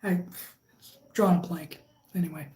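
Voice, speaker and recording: A woman with a Michigan accent hosting a casual live stream, chatting with viewers in a relaxed, halting way with lots of fillers and restarts. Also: lowish voice. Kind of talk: casual live chat, reading viewer comments. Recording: echoey room.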